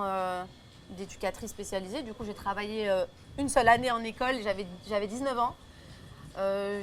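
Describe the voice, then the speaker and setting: A young woman from Belgium talks casually as a guest, with lots of fillers and restarts. Her voice sits at a middle pitch. Soft room ambience hums faintly behind her. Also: a little hiss or hum. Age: 20s. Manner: chatty, many fillers and restarts. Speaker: singer and influencer guest. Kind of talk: casual chat. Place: table at a food tasting.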